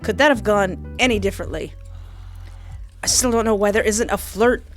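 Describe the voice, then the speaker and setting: A woman's voice: mid-range voice, moderate pace. A woman doing let's-play commentary on a video game, switching between reading narration aloud and playful, exclaiming reactions. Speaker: video game streamer playing a dating simulator. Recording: music behind the voice. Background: game music.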